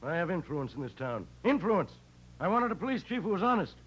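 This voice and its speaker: An actor playing a self-important, blustering newspaper publisher who repeats himself for emphasis, in 1940s radio drama style. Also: male voice, high voice, big pitch swings, fairly quick, breathy edge, exaggerated voice. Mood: pompous, indignant. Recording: noisy muffled old radio recording.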